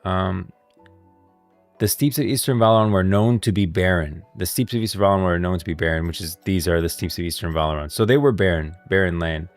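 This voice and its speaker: A man's voice: deep voice, dry recording, soft background music. A man doing casual live-stream commentary on game lore, rambling and thinking aloud as he goes. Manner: rambling, thinking aloud, pauses to read out lines.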